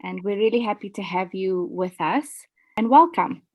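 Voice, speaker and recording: A woman's voice: medium pitch, animated, normal speed, a little mellow. A woman interviewing a guest for a magazine, asking polite questions with hesitations.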